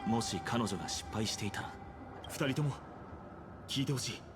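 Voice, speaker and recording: A man's voice: mid-range voice, lively intonation, quick pace, natural voice. A man playing an earnest, composed young fantasy hero in a video game, with a resolute, reassuring manner. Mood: calm, resolute. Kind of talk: Japanese video game voice acting. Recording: game soundtrack music under dialogue.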